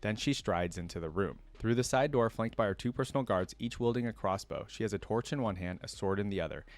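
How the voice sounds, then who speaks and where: Low-voiced man with a close, dry sound. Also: expressive, quick pace, no echo. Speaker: reaction video host. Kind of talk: reading a story aloud with casual fan commentary.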